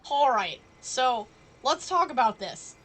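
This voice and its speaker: A woman's voice: sits high, very animated, takes it slowly. A woman streaming a game live with casual, playful and sardonic commentary. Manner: playful, sardonic asides to characters.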